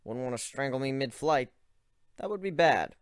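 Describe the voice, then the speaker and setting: A man's voice: medium pitch, expressive, normal speed, clear, resonant tone, no echo, studio quality, lightly acted. A man voicing an easygoing young male griffin who talks in a joking, offhand way. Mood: casual.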